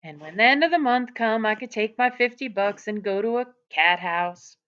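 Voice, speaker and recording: A woman reading aloud, voicing a weary, terse man who speaks in a flat, wooden rural drawl. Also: mid-range voice, normal speed, smooth, highs cut off, pitched above the performer's own voice, close to an everyday voice.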